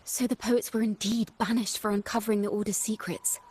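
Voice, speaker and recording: A woman's voice: medium pitch, level tone, fairly quick, a little mellow, breathy edge, close to an everyday voice. An actress playing a poised young woman bard in a video game, encouraging and poetic in manner. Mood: thoughtful.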